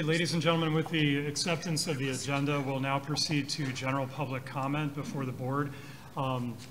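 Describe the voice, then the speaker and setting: A man's voice: on the high side, a bit bright. A man chairing a formal city board meeting, speaking hesitantly with many ums and uhs. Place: city council chamber. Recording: noisy public meeting audio.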